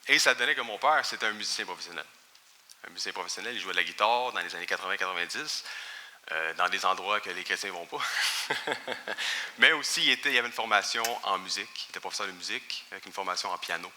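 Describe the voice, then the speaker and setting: A man's voice: low-pitched, fast, pushed and bright, long reverb tail. A man in his thirties with a Quebec French accent, giving a chatty, informal personal testimony in church with light humor. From Quebec, Canada. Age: 30s. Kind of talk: personal testimony before a congregation. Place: church sanctuary.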